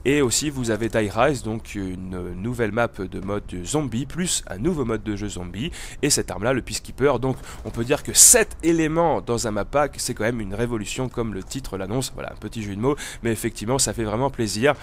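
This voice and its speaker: A man's voice: on the low side, fairly quick, few pauses, a little hiss or hum. A man with a cold giving casual, hesitant, meandering gameplay commentary as the host of a gaming video. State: has a cold.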